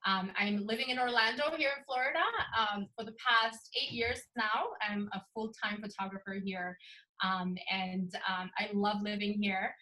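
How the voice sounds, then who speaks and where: A woman's voice: medium pitch, steady tone, moderate pace, bright, forceful tone, rough recording. A young woman from Sweden, a photographer, talking earnestly and eagerly as an interview guest, with frequent ums. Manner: earnest, enthusiastic, many ums. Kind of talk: casual interview talk.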